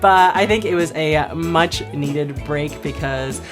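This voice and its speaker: A woman's voice: sits low, takes it slowly, over music. A woman in her thirties narrating a casual vlog in a chatty, upbeat way, laughing often. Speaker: vlogger.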